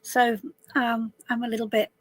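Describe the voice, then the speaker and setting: A middle-aged woman from southwest London, her voice a little husky, chatting casually to viewers on a live stream from inside a camper van, calling people darling. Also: fairly high pitch, little pitch movement, normal speed, dry recording. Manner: chatty, warm greetings to viewers, calls people darling and love. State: a bit husky voice. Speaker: tarot reader hosting a live stream.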